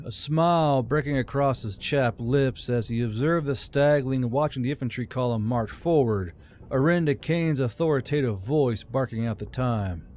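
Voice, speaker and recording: Mid-pitched man narrating a fantasy story. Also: darkish tone. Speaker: audiobook narrator.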